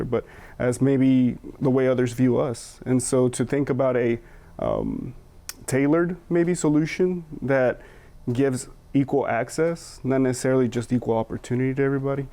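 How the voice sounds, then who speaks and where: Guy on a panel, gravelly low-key voice, lots of uh and um, reflective. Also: animated, a little fast, dark tone. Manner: thoughtful, hesitant, with many fillers and restarts.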